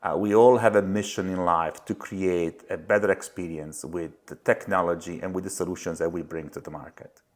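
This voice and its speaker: Low-voiced Italian man with many fillers. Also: steady tone, normal speed. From Italy. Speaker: guest, company founder. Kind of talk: podcast interview.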